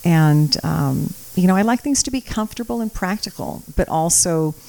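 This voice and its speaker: Middle-aged american woman, croatian is her first language, lowish voice, chatting on a podcast in an office. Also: lively intonation, normal speed, vocal fry, mellow, poor audio. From Napa Valley, California, US. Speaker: podcast guest. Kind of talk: conversational interview.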